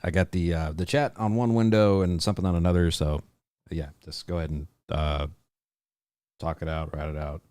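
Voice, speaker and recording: A man's voice: sits low, fairly even intonation, moderate pace, a little mellow. A man hosting his own podcast livestream, chatting casually and rambling with lots of fillers and restarts. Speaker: podcast host.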